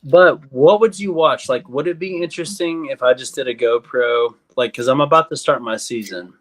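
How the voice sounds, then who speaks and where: A man's voice: mid-range voice, moderate pace. A man in casual group chat who talks loosely and rambles, leaning heavily on "like". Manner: loose, rambling, heavy use of "like".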